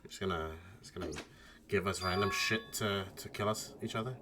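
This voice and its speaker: A man's voice: low voice, fairly quick, background noise. A man chatting casually as he streams a game with friends. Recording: game music behind the voice.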